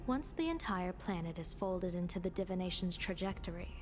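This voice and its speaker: A woman plays a shrewd female strategist in a game, composed and grave. Her voice sits a little low, with measured, portentous phrasing and music clearly behind it. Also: animated, natural voice. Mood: grave, assured. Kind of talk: dramatic game voice acting.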